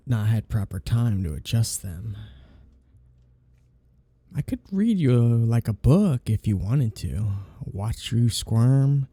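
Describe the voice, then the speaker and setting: A man playing a suave vampire lord in an intimate ASMR roleplay, teasing and condescending in a slow, menacing purr. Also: mid-range voice, vocal fry, mellow, no echo, exaggerated voice.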